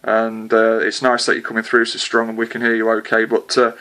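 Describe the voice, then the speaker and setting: A man's voice: fairly low pitch, completely flat delivery, brisk, bright, forceful tone. A man chatting hesitantly with a distant contact as a CB radio operator, with lots of filler sounds. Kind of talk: casual radio contact chat.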